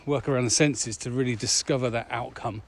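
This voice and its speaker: A man's voice: mid-range voice, fairly even intonation, brisk. A man co-hosting a podcast in casual, reflective discussion, recorded outdoors while walking. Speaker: podcast co-host, corporate trainer. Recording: outdoor recording with faint traffic noise.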